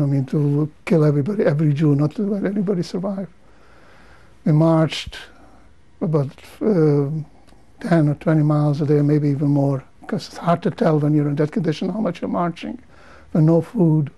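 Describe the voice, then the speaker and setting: An older man from Romania, whose first languages are Hungarian, Romanian, Yiddish and Hebrew, speaks slowly with pauses and restarts. His voice sits a little high but has a dark, mellow tone. He talks in a measured way, as in an interview. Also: low-quality recording. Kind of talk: testimony interview.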